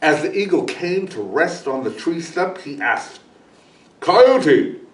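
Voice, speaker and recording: A man reading a children's story aloud as a guest storyteller, in an animated way. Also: a little high, unhurried, slight background noise. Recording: echoey room, distant microphone.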